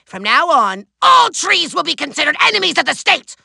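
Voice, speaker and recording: Manic little megalomaniac game villain, nasal and gravelly, sharp, grandiose, all over the place. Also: male voice, very high voice, big pitch swings, no echo, clean studio sound, heavily acted. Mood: pompous, commanding. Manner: grandiose, theatrical, drawn-out declaiming. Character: small male evil overlord, pompous, manic, gloating megalomaniac. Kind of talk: video game villain voice acting.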